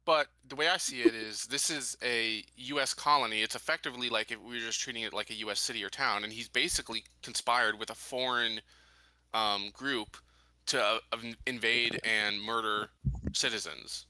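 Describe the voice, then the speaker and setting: A man talking casually as a player in a tabletop roleplaying game, thinking aloud in a deliberate, halting way. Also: mid-range voice, fairly even intonation, sharp tone, no echo, poor audio. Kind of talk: casual in-character and out-of-character game talk.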